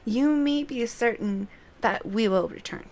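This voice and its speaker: A woman's voice: a little high, measured pace, darkish tone, a little hiss or hum. A woman voicing a courteous, earnest young nobleman from a fantasy game in polite, formal speech. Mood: earnest, gracious.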